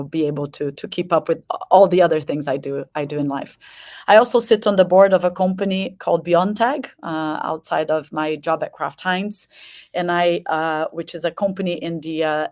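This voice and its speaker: A woman in her forties from Brazil, a company president introducing herself as a webinar guest, speaking in a measured way with frequent fillers. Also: low-pitched, a little mellow. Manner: measured, with many uh and um fillers and repeated words.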